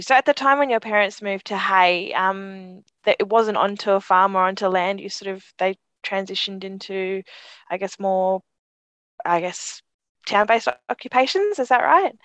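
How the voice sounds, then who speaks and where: A woman's voice: medium pitch, moderate pace, no room sound. Encouraging woman podcast host, dry sound. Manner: warm, encouraging, affirming. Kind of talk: podcast interview, reads intro then chats.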